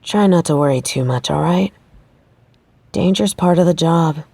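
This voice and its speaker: A woman's voice: deep voice, moderate pace, gravelly, mellow, no echo. A woman playing a determined, pragmatic researcher of the supernatural in an audio roleplay, speaking in a conversational, matter-of-fact way. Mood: grave, reassuring. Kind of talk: audio roleplay acting, addressing the listener.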